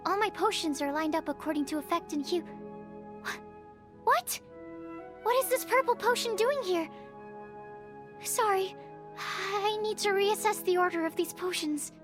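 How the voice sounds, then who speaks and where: A bookish young female alchemist for a video game. Her voice is high and girlish, with a shy, earnest, awkward way of choosing careful, formal words. Music plays behind her. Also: unhurried, pauses often. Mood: flustered. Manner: hesitant, precise, formal wording. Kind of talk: video game character voice lines.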